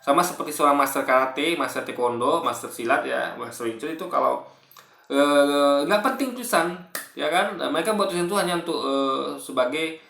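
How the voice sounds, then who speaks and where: A man giving casual spoken commentary in a halting, repetitive way, often correcting himself. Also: on the high side, lively intonation, gravelly, bright, forceful tone. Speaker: video commentator. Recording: echoey room.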